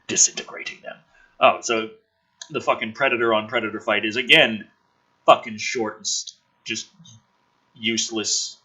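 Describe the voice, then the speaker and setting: Male script reader, very forceful and sharp, husky tone, big pitch swings, sarcastic and sweary. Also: poor audio. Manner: sarcastic, exasperated, profane; theatrical delivery with sudden emphasis. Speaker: host reading and mocking a film script. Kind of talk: dramatic script reading with sarcastic running commentary.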